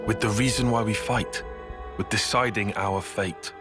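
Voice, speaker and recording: Man acting a measured, serious young soldier. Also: fairly low pitch, steady tone, natural voice. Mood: grave, reasoning. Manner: measured, formal, precise. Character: analytical, serious, cautious young male soldier. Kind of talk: video game voice acting. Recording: loud music behind.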